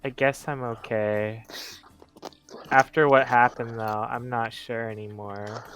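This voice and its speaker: A man playing a tabletop roleplaying game, describing his character's actions in halting, informal talk. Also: mid-range voice, steady tone, a bit bright.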